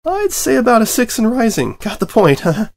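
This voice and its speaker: A cheeky little boy dragon, high-pitched and bouncing with excitement, as a male reader puts him on. He teases with gleeful, loud bursts. A storybook reading voice. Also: moderate pace, creaky, full character voice. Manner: excitable, teasing. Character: cheeky young male baby dragon sidekick.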